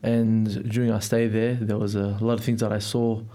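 A man's voice: on the low side, level tone, normal speed, warm tone. A young man from Melbourne, answering interview questions in a reflective, hesitant way in a studio. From Melbourne, Australia. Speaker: interview guest.

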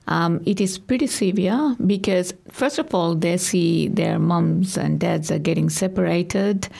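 Woman from Bangladesh, English, low gravelly voice; earnest, halting interview answers. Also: darkish tone. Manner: earnest, halting with many ums. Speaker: community worker and guest.

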